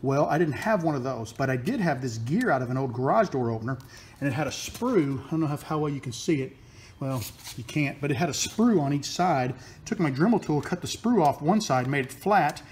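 A man's voice: on the high side, animated, brisk, a little mellow, a little hiss or hum. A man in his forties, chatting casually in a rambling DIY vlog, filmed outdoors in his backyard and garage on a phone at arm's length. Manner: chatty, rambling with fillers and restarts. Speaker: workshop vlogger. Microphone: phone held at arm's length.

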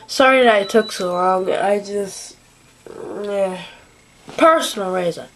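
A young woman giving casual, rambling commentary while playing a game at home, sniffly from allergies, with lots of fillers and quiet asides. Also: medium pitch, slow, a little hiss or hum, poor audio. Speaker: gameplay commentator. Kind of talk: casual let's-play commentary. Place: home room at a desk.

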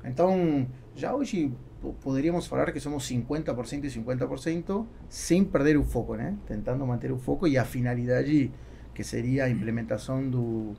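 Gravelly Argentine man, halting speech. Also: medium pitch, dark tone, slight background noise. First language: Spanish. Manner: halting, searching for words, mixing Spanish and Portuguese. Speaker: podcast guest, business owner. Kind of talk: conversational interview answers. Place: small acoustically treated podcast studio. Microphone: studio microphone on boom arm.

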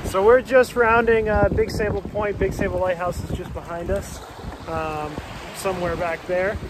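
A man's voice: sits high, moderate pace. A young man chatting casually with lots of ums as he narrates a sailing trip, filmed on a phone at arm's length out on the water. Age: young adult. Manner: relaxed, chatty, many ums and uhs. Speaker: sailing vlogger. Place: on a sailboat out on open water. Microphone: phone held at arm's length. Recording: phone audio with loud wind noise. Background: wind and boat noise.

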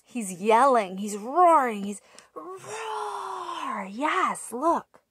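Woman reading a picture book to little kids really slow, kinda high voice, dry webcam sound. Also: sing-song, fairly bright tone, no echo. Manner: slow, sing-song, asks little listeners questions. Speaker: teacher reading aloud. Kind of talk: picture book read-aloud for toddlers. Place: small room indoors. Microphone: webcam at arm's length.

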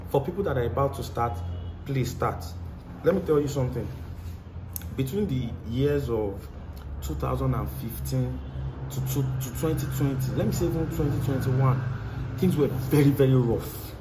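A man's voice: mid-range voice, normal speed. A man in his thirties with a Nigerian accent, a mechanic answering interview questions earnestly, repeating phrases for emphasis, on a lapel mic outdoors at his workshop. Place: outdoor workshop by a tiled wall. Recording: clear outdoor background noise.

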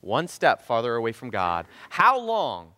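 A man in his thirties with a Southern Ohio accent preaches from a church stage, his voice bright and forceful. He leans on rhetorical questions, and his pitch rises and falls widely. The sound carries a long hall reverb. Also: wildly swooping pitch, unhurried. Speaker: pastor. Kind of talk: sermon. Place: church stage in front of a drum kit.

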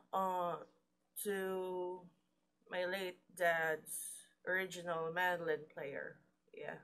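A young woman vlogging casually at home, hoarse and low-voiced from coughing, rambling slowly with fillers and pauses. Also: a bit bright. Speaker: vlogger and mandolin player. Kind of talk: casual chat to camera. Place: small room indoors. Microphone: webcam or laptop at desk.

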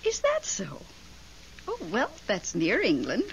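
A woman's voice: mid-range voice, wildly swooping pitch, slow pace, exaggerated voice. A woman playing a genteel, chatty widow pining for her late husband in a 1970s radio drama. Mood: chatty, reminiscing. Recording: dull, faintly noisy 1970s radio.

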